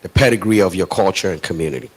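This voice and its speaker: A middle-aged man from Ghana speaking as a guest on a panel in front of a live audience, in a measured and reflective way. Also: deep voice, husky. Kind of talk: panel discussion.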